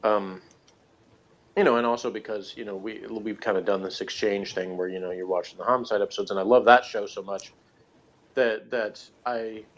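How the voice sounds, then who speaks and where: A man's voice: lowish voice, fairly even intonation, moderate pace. A man in casual conversation as a podcast co-host, talking in a hesitant, rambling way and often correcting himself mid-sentence. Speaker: podcast co-host reviewing TV shows. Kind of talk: casual chat. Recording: dull lo-fi audio.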